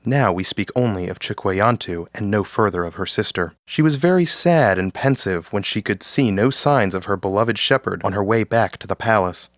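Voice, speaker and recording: A man's voice: on the low side, normal speed, hardly any pauses, no room sound, clean studio sound. A man narrating a scripted story as the narrator of an audio drama.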